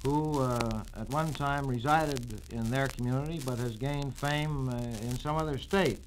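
A man giving formal, halting answers in a radio interview, pausing hesitantly often. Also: medium pitch. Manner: halting, hesitant with many pauses. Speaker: state campaign chairman, company president. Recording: noisy, clicking 1940s radio transcription disc.

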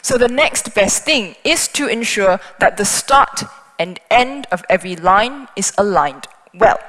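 A woman's voice: medium pitch, fairly bright tone. A woman whose first language is Chinese, giving a technical talk on stage at a conference with a headset mic, explaining things step by step with small asides. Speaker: conference speaker. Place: large conference hall on stage. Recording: hall reverb.

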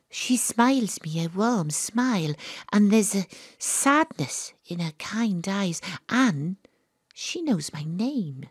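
A woman's voice: medium pitch, husky, dry recording. A weary woman private eye, wry storytelling for an audio play, really dry sound. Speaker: narrator and lead character. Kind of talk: first-person audio drama narration.

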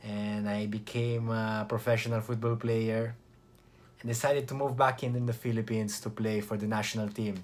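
A young man with an Italian accent, from Italy, chatting to camera in a casual vlog with relaxed restarts and short pauses. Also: on the low side, faint music behind. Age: young adult. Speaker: vlogger.

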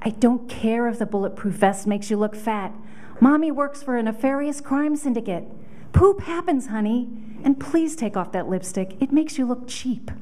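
Woman acting a mellow, harried deputy mayor mom. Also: on the high side, animated, moderate pace, lightly acted. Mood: brisk, dry. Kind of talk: audition comedy monologue read. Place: hotel conference room, at a standing mic.

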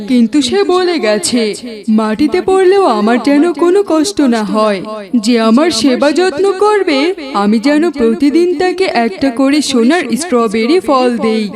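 A woman voicing a kindly, instructive magical strawberry tree in a children's cartoon. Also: sits high.

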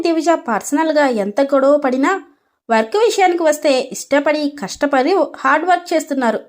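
A woman narrates a scripted story. Her voice is high, and she delivers the narration as a storyteller between lines of dialogue.

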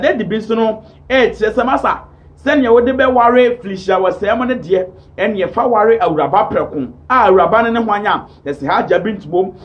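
A Ghanaian man with a high voice hosts an online gossip show. He speaks emphatically to camera and leans on repeated set phrases. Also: highs cut off. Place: small indoor home studio.

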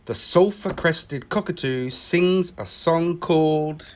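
A man reading a picture book aloud in an expressive, animated manner, acting out the exclamations. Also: a little high, takes it slowly, highs cut off, slight background noise. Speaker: library staff story reader.

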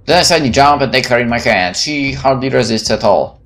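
Man, medium pitch, game streamer, visual novel narration, casual playful commentary, faint music behind. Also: animated, brisk, a bit bright. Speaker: gameplay streamer. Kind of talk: reading a visual novel aloud with casual asides.

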